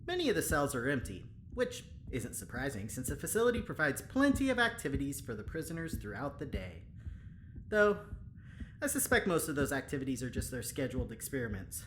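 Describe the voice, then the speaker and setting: An actor playing a talkative, well-meaning prison guard in an audio drama, chatty and coaxing in manner. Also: male voice, medium pitch, huge pitch swings, brisk, fairly bright tone. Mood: musing. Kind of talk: audio drama acting, spoken log. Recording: clearly noisy, ambient background.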